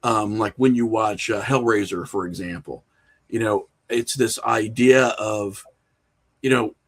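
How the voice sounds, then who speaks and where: A man talking casually and conversationally as a podcast guest, telling things in an animated, storytelling way. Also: fairly low pitch, measured pace. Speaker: podcast guest, horror filmmaker and writer.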